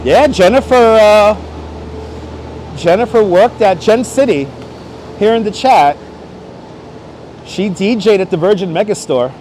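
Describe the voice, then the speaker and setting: A man's voice: high voice, measured pace, long pauses, darkish tone, low-quality recording. A man hosting a casual livestream outdoors, chatting playfully and exclaiming to his viewers as he goes. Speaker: livestream host. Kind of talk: casual livestream chat while exploring city streets. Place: outdoors on busy Manhattan streets. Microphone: wireless clip-on microphone. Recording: outdoor city street noise.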